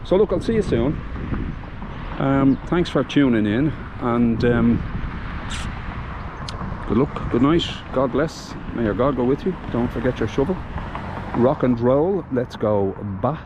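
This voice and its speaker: A man's voice: mid-range voice, expressive, unhurried, background noise. A man with a Dublin accent, talking casually and from the heart as he vlogs outdoors, with lots of fillers and restarts. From Ireland. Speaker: vlogger. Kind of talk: casual vlog commentary.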